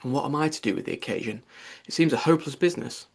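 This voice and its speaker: A man voicing a calm, ironic middle-aged gentleman father in an audiobook, speaking formally with measured deadpan. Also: medium pitch, gravelly, breathy edge. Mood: unconcerned.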